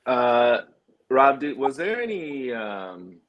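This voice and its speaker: A man with a mid-range voice co-hosts a relaxed discussion of films. He talks casually and a little haltingly, filling gaps with ums as he goes. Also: medium pitch, highs cut off. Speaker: podcast co-host.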